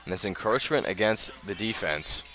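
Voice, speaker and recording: Male American radio football announcer, lower register, measured delivery that turns emphatic for key plays. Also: animated, pushed and bright, poor audio. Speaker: play-by-play announcer. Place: outdoors at a high school football game.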